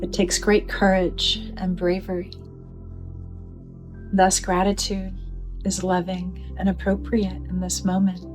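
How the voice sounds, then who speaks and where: A woman leading a guided meditation in a gentle, soothing manner, with deliberate phrasing and pauses. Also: on the low side, completely flat delivery, music in the background.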